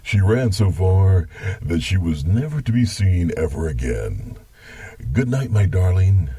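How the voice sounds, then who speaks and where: A man acts as a mischievous grandpa telling a spooky bedtime story. The voice is very husky and low, with a teasing delivery that swings widely in pitch. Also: sing-song, measured pace, mellow, no echo, heavily acted. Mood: mock-ominous.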